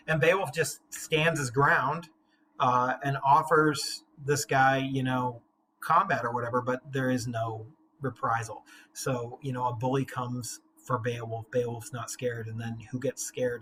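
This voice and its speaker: A man's voice: a little high, bright, forceful tone, slight background noise, rough recording. A man working as a literature teacher, reading aloud and explaining in casual, slangy language. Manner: slangy, informal paraphrasing.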